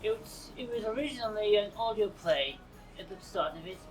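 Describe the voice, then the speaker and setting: A man in his thirties giving halting, unscripted interview answers outdoors. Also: sits high, expressive. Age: 30s. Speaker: actor. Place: outdoors on a sea front. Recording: distant mic, music behind.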